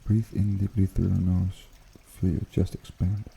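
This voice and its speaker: A man hosting an ASMR guided meditation in a hushed, unhurried, soothing way with gentle pauses. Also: deep voice, level tone, creaky, slight background noise. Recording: water trickling behind the voice. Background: pond water bubbling and trickling.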